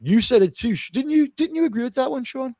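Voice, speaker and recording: A man's voice: very high voice, lively intonation, fast, a little mellow, dry recording. A man from Queens, New York hosting a live discussion show, blunt and opinionated, swearing freely. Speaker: show host. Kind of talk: casual live panel talk.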